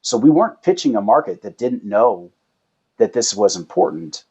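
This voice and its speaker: Male founder as interview guest, low slightly rough voice, explanatory, checks in with rhetorical questions, muffled lo-fi sound. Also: big pitch swings, moderate pace, darkish tone. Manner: uses rhetorical questions and tag "right?". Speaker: startup founder and chief executive, guest.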